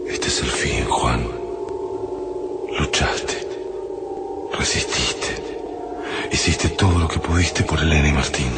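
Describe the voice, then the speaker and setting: A doomed, despairing captive in a radio play. His very low voice delivers an anguished inner monologue in broken phrases, with loud music underneath. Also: takes it slowly, pauses often. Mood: despairing, resigned. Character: Juan, despairing captive man facing a horrific end. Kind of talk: radio drama acting.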